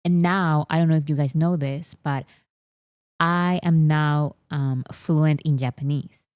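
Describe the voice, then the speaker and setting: A young woman whose first language is Spanish chats casually with her audience in a low, mellow voice. She teases and brags in jest, laughing often, recorded dry and close. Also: fairly even intonation, a little slow, clean tone, no echo. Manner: playful, teasing, mock-boastful, laughs often. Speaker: host. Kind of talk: casual livestream chat reading comments.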